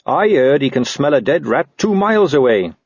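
A man's voice: medium pitch, sing-song, normal speed, no echo, muffled recording, pitched above the performer's own voice. Man playing an earnest, slyly persuasive policeman. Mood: mild, coaxing. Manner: mild, reasonable, disingenuously innocent.

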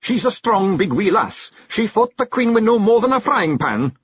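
A man voicing a small, fierce male pictsie clan leader with a gruff Scottish accent, blunt and commanding. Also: sits high, big pitch swings, normal speed, dry recording, highs cut off, voice pushed up, heavily acted.

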